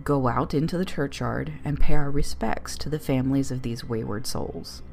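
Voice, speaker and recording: Woman playing a solemn, low-voiced village vicar. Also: moderate pace, creaky, darkish tone. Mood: solemn, kindly. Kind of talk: story reading with dialogue.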